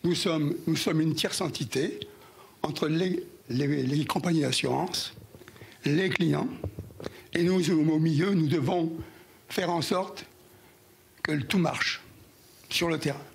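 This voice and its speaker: A hoarse older man giving a speech to an audience, halting and repeating words as he recalls past events. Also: fairly high pitch, slight background noise. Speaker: former union president giving an anniversary speech.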